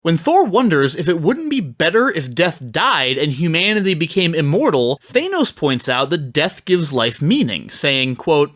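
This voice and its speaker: Animated, higher-pitched man arguing a case. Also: normal speed, hardly any pauses, no room sound, clean studio sound. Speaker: debate podcast panelist. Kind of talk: scripted comedic debate argument.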